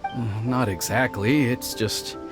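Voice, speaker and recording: A man's voice: medium pitch, slow, natural voice. An actor playing an anxious young male servant in a video game, hesitant and apologetic, often sighing. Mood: uneasy. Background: game music.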